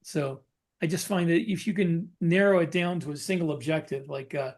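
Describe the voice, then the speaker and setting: A man co-hosting a podcast and talking shop as a novelist, explaining casually in a halting way and reworking his phrases. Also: a little high, steady tone, a little mellow. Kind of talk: casual discussion of writing military science fiction.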